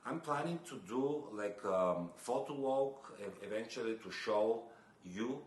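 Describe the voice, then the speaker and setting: A man's voice: mid-range voice, lively intonation, unhurried. A young man from Bulgaria, talking casually to camera about photography, hesitant with many fillers and restarts. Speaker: photography vlogger. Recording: echoey room with faint noise.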